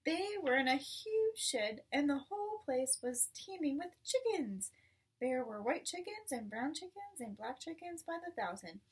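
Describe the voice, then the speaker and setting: Distant, higher-pitched woman reading a storybook. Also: very animated, measured pace. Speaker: storybook reader. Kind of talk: children's book read-aloud.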